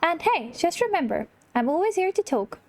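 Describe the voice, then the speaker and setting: A woman voicing a cheerful, caring male cartoon mouse pal, gentle and folksy, in a video game. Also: extremely high pitch, sing-song, moderate pace, background noise, pitched above the performer's own voice, heavily acted. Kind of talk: reading video game dialogue in character.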